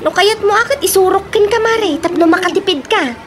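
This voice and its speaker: A woman's voice: very high-pitched, fairly quick. A woman with an Ilocano accent playing a chatty, teasing neighbor in a radio drama. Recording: noisy recording.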